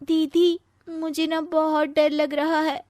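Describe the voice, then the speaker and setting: A woman's voice: high voice, flat delivery, slow pace, a little mellow, no echo, pitched above the performer's own voice. A woman voicing a timid, aggrieved but playfully scheming young sister-in-law in a comic family story. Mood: fearful pleading.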